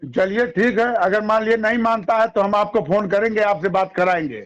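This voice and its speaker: High-voiced, placating man on a muffled call. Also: moderate pace. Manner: placating, deferential, noncommittal. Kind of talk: phone conversation, short deferential replies. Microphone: phone call. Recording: muffled, dull phone call recording.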